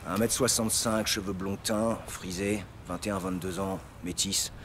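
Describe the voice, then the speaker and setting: An actor playing a stubborn, streetwise small-time informant talking in casual slang in an audio drama. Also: male voice, low-pitched, fairly even intonation, normal speed, a little hiss or hum. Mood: worried, insistent. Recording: loud music and city vehicle sounds behind.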